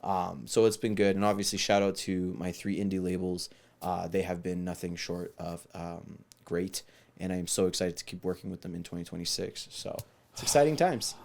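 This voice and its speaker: A man co-hosting a podcast, expressive and quick to exclaim in casual banter. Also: deep voice, gravelly. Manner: expressive, laughs and exclaims a lot. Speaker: podcast co-host and musician.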